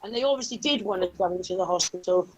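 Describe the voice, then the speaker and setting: A woman's voice: medium pitch, very fast, slightly rough, poor audio. A woman hosting a casual discussion on an online call. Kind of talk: casual chat. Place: indoors on an online video call.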